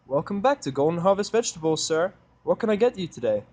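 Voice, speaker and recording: A man's voice: sits high, very animated, quick pace, a little mellow, voice pushed up. A man voicing a nervous, polite female pony who sells vegetables, in an audio drama. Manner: timid, courteous. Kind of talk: fan fiction audio drama acting.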